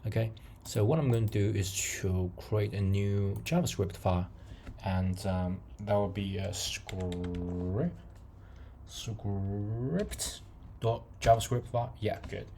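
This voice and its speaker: A man whose first language is not English walks through a coding tutorial in a low, gravelly, mellow voice. He talks haltingly, full of fillers and restarts, and chuckles often. Faint room hiss and keyboard typing sit behind him. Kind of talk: casual screen-recorded coding tutorial.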